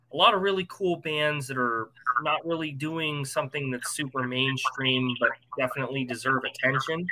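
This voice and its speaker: A man's voice: medium pitch, sharp tone, rough recording. A man with an American accent talking casually as a musician being interviewed.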